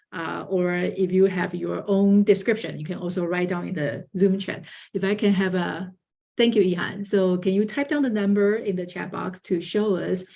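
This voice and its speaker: Woman moderator with halting speech. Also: mid-range voice, darkish tone. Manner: hesitant, many "uh" fillers. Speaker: panel moderator. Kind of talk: online panel moderation.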